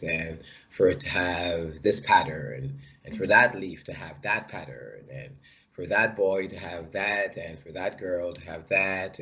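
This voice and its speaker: A man from Guyana, a shaman guest in a podcast interview, speaking hesitantly with many pauses and fillers. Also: deep voice, a bit bright. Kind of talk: casual interview.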